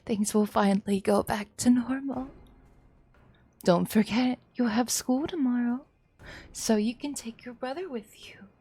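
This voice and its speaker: A woman's voice: fairly high pitch, measured pace, mellow, husky. A woman voicing a maniacal, doting mother in a visual novel, theatrical, sweetly coaxing and threatening. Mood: giddy, sweet. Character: maniacal doting mother, cloyingly cheerful and menacing.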